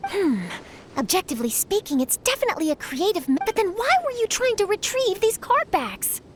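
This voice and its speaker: A woman playing an eager, chatty young news reporter in a video game, animated and often thinking aloud. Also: very high voice, brisk, slight background noise. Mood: thoughtful.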